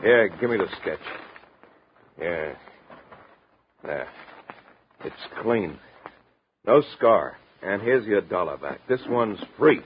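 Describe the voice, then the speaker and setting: An American actor playing a moody, sardonic, lovesick sketch artist with an obsessive streak, clipped in delivery, in a 1950s radio drama. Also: male voice, fairly low pitch, animated, takes it slowly, pauses often, a little hiss or hum. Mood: sardonic, curt. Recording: dull, muffled vintage radio recording.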